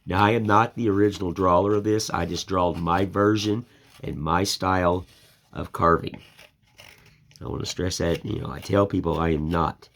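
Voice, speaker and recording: A man's voice: sits low, steady tone, moderate pace, a bit bright. An older American man with a folksy, plainspoken way of talking, rambling in a casual chat while he shows his woodcarving. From United States. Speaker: woodcarving channel host.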